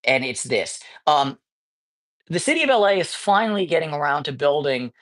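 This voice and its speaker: A man's voice: fairly high pitch, animated, moderate pace, a bit bright. A man chatting casually on a livestream, ranting and swearing as he talks, with lots of false starts and restarts. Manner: ranting, profane, rambling with many restarts. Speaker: livestreamer and cat rescuer. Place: cat colony.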